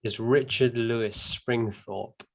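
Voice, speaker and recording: Male comedian guest, mid-pitched, rambling and sweary, webcam sound. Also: fairly even intonation, takes it slowly. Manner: rambling, swears freely, trails off with ums. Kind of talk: casual chat.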